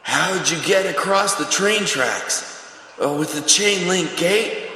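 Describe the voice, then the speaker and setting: A man's voice: high voice, animated, slow pace, bright, forceful tone, exaggerated voice. A man playing an inquisitive young tagalong in theatrical audio drama dialogue. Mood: curious. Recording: echoey, reverberant, slightly noisy.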